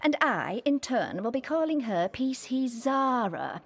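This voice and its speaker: A woman's voice: fairly high pitch, sing-song, unhurried, heavily acted. An actress playing a pompous, pedantic forensics woman in an audio drama, speaking in a precise, formal way. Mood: haughty. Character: pompous, pedantic, self-important female police forensics colleague.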